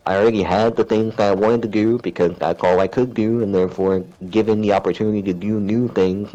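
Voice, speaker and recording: A man with a very low, gravelly voice talks in a slow, winding way, stopping and restarting his sentences. The sound is a bit dull and lo-fi. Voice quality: deep, gravelly voice kept raised. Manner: rambling, hesitant, frequent fillers and restarts; soft-spoken, self-described shy. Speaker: gamer chatting on stream. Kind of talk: casual chat.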